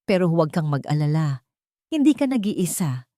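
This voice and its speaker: A woman's voice: low-pitched, sing-song, normal speed, mellow, no echo, clean studio sound. A woman voicing a protective, comforting older village woman in an audio drama story. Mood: indignant, reassuring.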